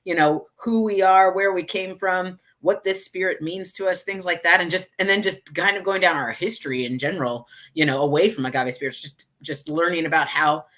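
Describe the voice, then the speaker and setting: A woman from Texas with an American accent, chatting casually as an interview guest in a chatty way, quick to laugh. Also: on the low side, a bit bright. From Houston, Texas, United States. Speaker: guest, tequila brand ambassador.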